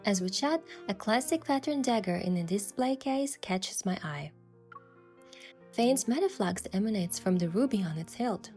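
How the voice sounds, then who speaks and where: A woman playing an earnest, playfully cheeky young hunter heroine, mixing reflective first-person story narration with expressive video game dialogue. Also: mid-range voice, normal speed, soft background music, close to an everyday voice. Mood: reflective, descriptive.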